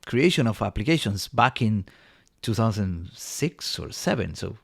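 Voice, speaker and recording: A man's voice: on the low side, animated, a little slow, gravelly, husky. A man from Argentina, a company co-founder, eagerly telling his story in a rambling way with many fillers. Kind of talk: casual storytelling in an interview.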